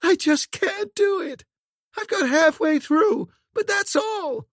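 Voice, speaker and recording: Guy putting on a frantic young woman, shrieky high falsetto, dry close sound. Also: animated, slow, fairly bright tone, dry recording, exaggerated voice. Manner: shrill high falsetto cries. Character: panicked young woman, desperate and sobbing.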